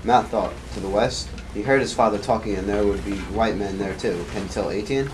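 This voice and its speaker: Male narrator, quick hesitant reading, fairly low voice, noisy recording. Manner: hesitant, with frequent restarts. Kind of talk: reading narration for an amateur puppet show.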